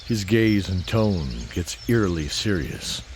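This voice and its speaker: A man narrating a scripted fantasy audio drama in a deliberate, atmospheric storytelling style. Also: low-pitched, sing-song, a little mellow, a little hiss or hum.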